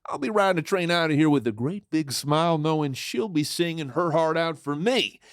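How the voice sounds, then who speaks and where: A man's voice: on the high side, expressive, normal speed, close to an everyday voice. A man playing a flirtatious, mischievous outlaw in a western audio drama, with showy, folksy cowboy patter. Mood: teasing, confident. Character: swaggering, flirtatious male outlaw charmer, mischievous and theatrical.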